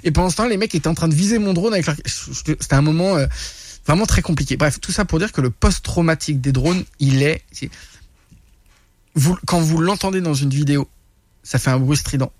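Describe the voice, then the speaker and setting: A man from France, a guest telling war stories in a casual studio interview, animated and full of restarts. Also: a little high, a little fast, no echo. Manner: animated, many restarts and laughs. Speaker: guest, reporter and influencer. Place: radio studio.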